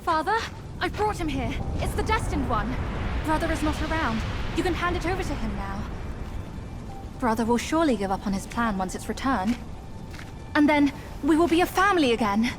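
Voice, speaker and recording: An actress playing a sly fox spirit who poses as a devoted young daughter, with coaxing, theatrical pleading in a video game. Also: female voice, high voice, expressive, normal speed, over music. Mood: reassuring. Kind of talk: dramatic video game acting.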